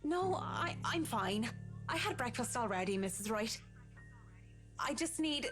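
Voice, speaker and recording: A woman's voice: a little high, lively intonation, a little slow, a bit bright. Guarded, polite young working woman. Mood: flustered. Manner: polite, reserved, businesslike. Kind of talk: visual novel voice acting. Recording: game dialogue over music.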